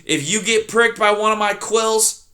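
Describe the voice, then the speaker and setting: Reader putting on a cranky old male porcupine, loud, scornful, bright bellowing voice. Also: medium pitch, a little slow, pitched above the performer's own voice. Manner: scornful, bellowing, insult-spitting. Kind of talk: storybook reading.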